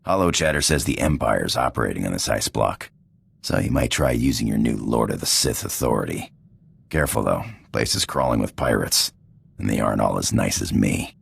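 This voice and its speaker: Very deep, gravelly informant. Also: male voice, a little fast, exaggerated voice. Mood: gruff, wry. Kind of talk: game voice acting.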